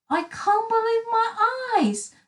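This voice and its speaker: A woman's voice: very high-pitched, expressive, takes it slowly, voice pushed up, heavily acted. A woman voicing an astonished, cheery little male storybook character in an exclaiming manner. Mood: amazed.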